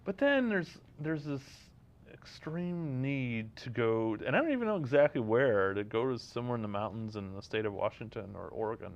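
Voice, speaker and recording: A man's voice: medium pitch, big pitch swings, normal speed, highs cut off. A man co-hosting a show in casual, joking chat. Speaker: podcast co-host.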